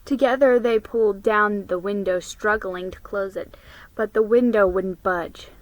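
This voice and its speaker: A woman doing a dramatic reading of a children's book, in a deliberate way. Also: mid-range voice, no room sound, lo-fi sound. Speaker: vlogger reading books aloud.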